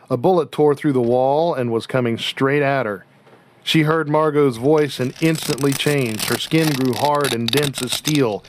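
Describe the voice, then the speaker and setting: A man's voice: medium pitch, no echo, natural voice. A man reading scripted story narration for a radio drama.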